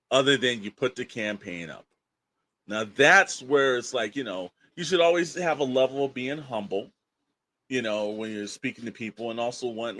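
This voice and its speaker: A man speaks as a podcast co-host in a medium-pitched voice. He is opinionated and emphatic, rambling along in casual, colloquial language as he makes his points. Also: a bit bright, no echo.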